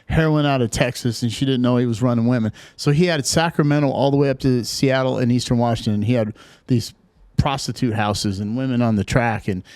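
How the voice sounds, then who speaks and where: A man with a mid-range voice tells a family story on a podcast, adding lively asides. A close mic leaves his voice dry and intimate, with no room sound. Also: medium pitch, brisk, no echo. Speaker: podcast guest.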